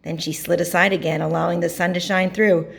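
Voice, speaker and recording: A woman reading a picture book aloud to children, narrating expressively with dramatic pauses and emphasis. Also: fairly low pitch, flat delivery, creaky, slightly rough. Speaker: storybook reader.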